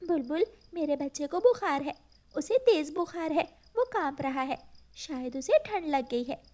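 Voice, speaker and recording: A woman voicing a worried, gentle and motherly sparrow in a cartoon. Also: high-pitched, animated, measured pace.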